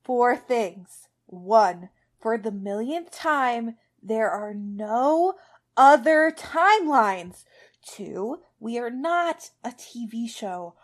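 A woman reading the part of a sarcastic, exasperated man in a story who is barely keeping calm, with emphatic delivery. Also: a little high, moderate pace, lightly acted. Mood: strained calm.

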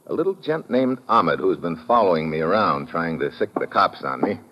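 A tough American government agent in an old radio thriller. His low voice is animated and clipped, full of wisecracking slang. The sound is muffled and noisy. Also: moderate pace. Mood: reasoning. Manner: hard-boiled, slangy, clipped. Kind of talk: old-time radio detective acting and narration.

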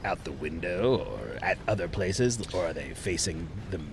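A man playing a tabletop game on a podcast, talking casually in a halting, deliberate way. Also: low voice, expressive. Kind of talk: casual improvised game chat. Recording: clear background noise.

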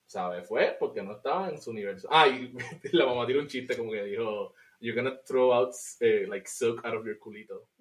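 Animated Puerto Rican podcast host. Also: male voice, mid-range voice, moderate pace, hardly any pauses. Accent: Puerto Rican Spanish. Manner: animated, laughs often, mixes in English. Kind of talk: casual chat, film review.

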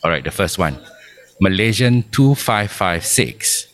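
A man's voice: low-pitched, lively intonation, a little slow, fairly bright tone. A middle-aged man speaking as a guest in a live radio interview, polite and obliging. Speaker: guest, aviation authority chief and former pilot. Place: air traffic control tower, live broadcast.

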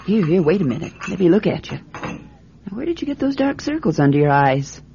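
Lively, low-voiced fussing farm mother. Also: creaky. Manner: fussing, brisk, homely. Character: fussing, caring farm mother of a young girl. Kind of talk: radio drama acting. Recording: dull radio recording, faint noise.

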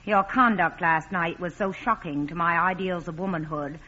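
An actress playing a spirited, haughty society bride in old-time radio drama, with theatrical, imperious delivery. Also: female voice, fairly low pitch, moderate pace, bright, forceful tone. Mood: cool, dismissive. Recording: muffled, noisy vintage radio broadcast recording.

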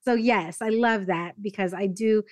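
A woman's voice: mid-range voice, moderate pace, no echo, studio quality. A woman hosting a podcast, talking casually and animatedly, with lots of "like". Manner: animated, uses "like" a lot. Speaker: podcast host. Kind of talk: casual interview conversation.

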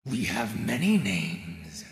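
A ravenous, playful wolf spirit, put on by a man reading a storybook. The voice is deeply gravelly and sharp, leaping all over its range at an unhurried pace. It carries a long, roomy reverb. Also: very animated, exaggerated voice. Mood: menacing. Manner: gleeful, predatory, growling.

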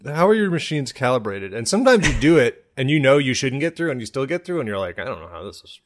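A man's voice: medium pitch, sing-song, fast, noisy background. A man co-hosting a comedy podcast, chatting casually in a rambling way and acting out imagined quotes.

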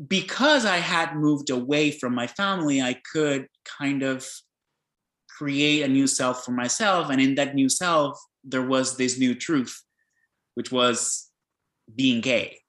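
A man's voice: medium pitch, takes it slowly, fairly bright tone. Reflective man in an echoey room. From Peru. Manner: reflective, hesitant with many fillers and restarts. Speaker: podcast guest. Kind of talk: casual conversation.

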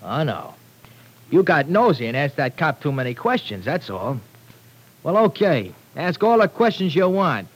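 A man's voice: on the high side, big pitch swings, normal speed, husky. An actor playing a sullen, defiant young male tough in a 1940s radio drama, talking in a resentful, threatening way. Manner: resentful, threatening street talk.

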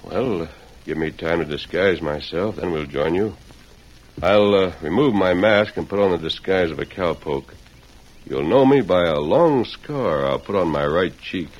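An actor playing a calm, authoritative masked Western hero with an American accent and measured, formal delivery, in old radio drama style. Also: male voice, low voice. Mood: measured, confident. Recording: dull, husky-sounding vintage radio recording.

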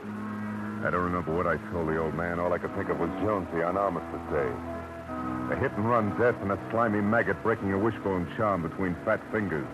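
Deep-voiced American hard-boiled radio detective played by a man. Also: brisk, natural voice, poor audio. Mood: grim. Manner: hard-boiled, clipped, slangy. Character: world-weary male private detective narrating his case. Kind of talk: 1940s radio noir acting, first-person narration. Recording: old radio drama with music bed.

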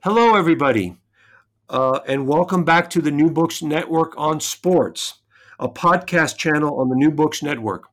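A man hosting a podcast and interviewing an author. He talks in a relaxed, conversational way and strings his questions together into long, multi-part asks. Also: fairly high pitch, moderate pace, faint music behind. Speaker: podcast host.

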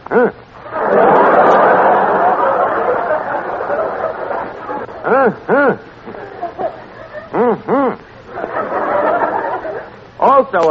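An actor playing a brash, lovesick tavern manager with a New York accent who mangles fancy words, in 1940s radio comedy style. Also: male voice, high-pitched, full character voice. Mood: chatty, smitten. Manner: malapropisms, self-important, slangy. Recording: noisy, muffled 1940s radio broadcast.

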